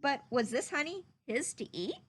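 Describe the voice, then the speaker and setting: A woman reading a children's story aloud in an animated, emphatic, teacherly way. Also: high voice, normal speed. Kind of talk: expressive read-aloud narration with teacherly questions to listeners.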